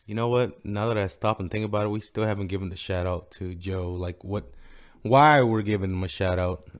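A man's voice: fairly low pitch, fairly quick. A young man, co-hosting a casual podcast, cursing freely and slipping in bits of Spanish slang. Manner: profane, blunt, slips into Spanish slang. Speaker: podcast co-host. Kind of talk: casual chat.

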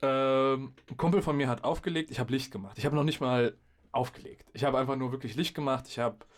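A young adult man chatting casually as a podcast host and DJ, telling stories in a slangy, animated way and acting out what people said. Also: medium pitch, fairly quick. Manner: slangy, acts out quoted remarks. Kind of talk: casual chat, animated storytelling.

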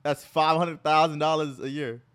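A young man hosting a podcast, casually chatting and playfully pushing his guest with a teasing, animated manner. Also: a little high, moderate pace, clear, resonant tone. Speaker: podcast host. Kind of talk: casual chat.

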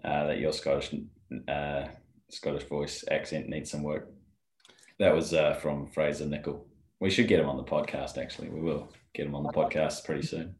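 A man's voice: very deep voice, little pitch movement, moderate pace, a little mellow, reverberant. A man co-hosting a podcast as the golf rules expert, explaining rulings step by step. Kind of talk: casual chat.

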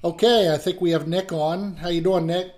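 A man who teaches college classes and hosts an interview, talking in a relaxed, chatty way with plenty of fillers. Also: high-pitched, normal speed, faint music behind. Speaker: host and college instructor. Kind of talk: casual interview.

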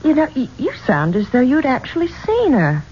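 An actress playing a gracious, curious, self-possessed singer in a 1970s radio drama, speaking in a polished, expressive way. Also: female voice, high-pitched, normal speed. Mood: intrigued. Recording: dull, noisy 1970s radio recording.

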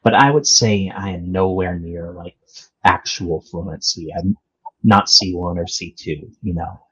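A man's voice: low voice, a little slow, a bit bright, slightly rough. A man hosting a podcast interview, speaking casually and hesitantly with lots of fillers. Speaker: podcast host. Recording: dull lo-fi call audio.

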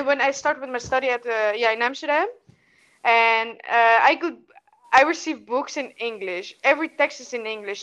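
A woman's voice: high-pitched, a bit bright, dull audio. A woman whose first language is Dutch, speaking English with a Dutch accent, answering interview questions in a hesitant way with many restarts. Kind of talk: casual conversational interview.

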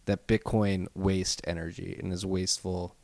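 A man's voice: low voice, little pitch movement, a little mellow, a little hiss or hum. A man with a Philadelphia accent, co-hosting a casual podcast, chiming in often and ranting with strong opinions. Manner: quick agreeing interjections, opinionated rants. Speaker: podcast co-host.

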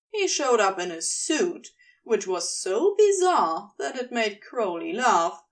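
A slightly distant recording of a woman reading fiction aloud. Her voice is fairly high and very animated, and she narrates expressively as the storyteller. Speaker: podfic reader, narrator.

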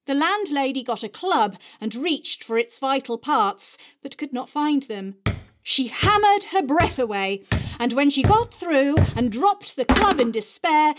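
A woman giving a scripted dramatic reading of literary prose, with theatrical, emphatic delivery and deliberate phrasing. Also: sits high, noisy background. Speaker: host and reader of dramatic readings.

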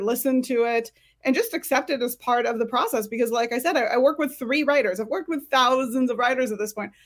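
A woman with a somewhat high-pitched voice speaks as a career coach. She gives advice in a conversational way, as a guest in a panel discussion.

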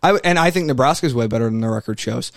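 Young man, casual sports debate, emphatic, argumentative, mid-pitched voice. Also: animated, very fast, vocal fry. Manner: argumentative, emphatic, rambling restarts. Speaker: sports podcast co-host.

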